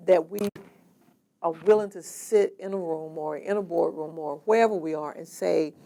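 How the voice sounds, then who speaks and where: Low, mellow, creaky-voiced woman. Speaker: documentary interviewee. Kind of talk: earnest interview commentary.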